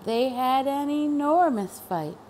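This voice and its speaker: Animated, high-voiced woman reading a children's story. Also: a little hiss or hum. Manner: deliberate, dramatic emphasis on key words. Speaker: storybook reader. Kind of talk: expressive children's read-aloud narration.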